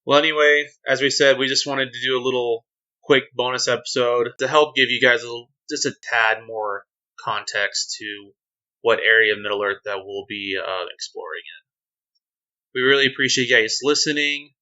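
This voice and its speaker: Bright-voiced man hosting, slightly dull recording. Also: mid-range voice, normal speed. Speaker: podcast host. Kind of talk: casual explanatory chat about a tabletop game.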